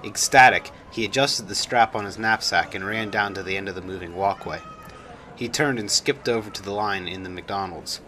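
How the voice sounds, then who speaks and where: Male story narrator, lower-pitched, bright forceful tone, scripted reading, noisy recording. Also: normal speed. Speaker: author reading own story.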